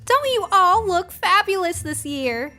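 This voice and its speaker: A cheerful suburban mom , played by a woman narrator, fusses in a high, lifted voice. Soft music sits behind the story dialogue. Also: sing-song, a little slow, full character voice.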